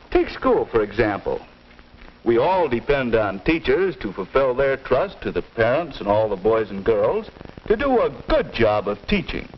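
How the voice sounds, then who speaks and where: An American man acting a patient, kindly father who gives measured moral advice in a 1950s instructional film. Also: medium pitch. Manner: measured, didactic, gently questioning. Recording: dull, hissy 1950s film soundtrack.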